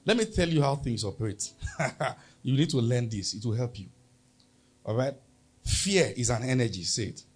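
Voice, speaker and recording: A man's voice: mid-range voice, animated, moderate pace. A man with a Nigerian accent preaching a sermon as pastor, emphatic and leading the congregation in call-and-response.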